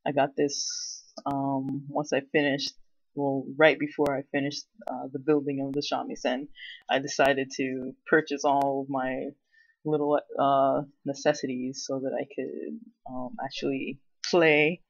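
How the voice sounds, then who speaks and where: A man's voice: medium pitch, little pitch movement, measured pace, no echo, highs cut off. A man giving a casual, unscripted show-and-tell as a hobbyist vlogger, rambling and hesitant in a self-effacing way.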